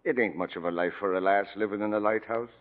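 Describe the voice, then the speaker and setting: An actor playing a gruff but tender old lighthouse keeper in a radio drama, speaking affectionately in folksy seafaring dialect. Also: male voice, on the low side, steady tone, quick pace, lightly acted. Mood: tender, wistful. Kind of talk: 1940s radio acting. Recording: dull vintage radio broadcast, long reverb.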